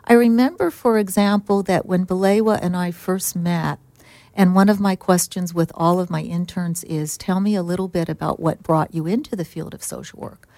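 A middle-aged woman, a social work supervisor, answering interview questions in a soft, gentle, thoughtful way. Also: medium pitch, no room sound.

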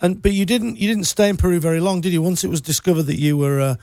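A male radio host interviewing a guest in a studio, easygoing and polite, a little halting. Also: high voice, a little mellow, no room sound. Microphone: studio microphone.

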